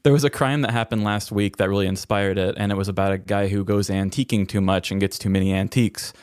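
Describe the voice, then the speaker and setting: A man with a fairly low voice, recorded close and dry. He talks like a podcast co-host, telling odd stories in a playful, conversational style. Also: fairly even intonation, fast, a little mellow, clean tone, no echo. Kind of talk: casual comedic storytelling.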